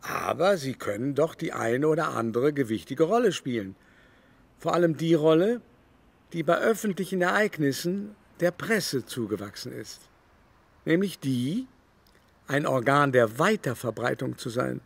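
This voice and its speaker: An actor playing a vain older aristocratic baron in a literary radio play, speaking in an affected, haughty, insinuating way. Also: male voice, fairly high pitch, expressive, measured pace, creaky, breathy edge, heavily acted. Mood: haughty, amused.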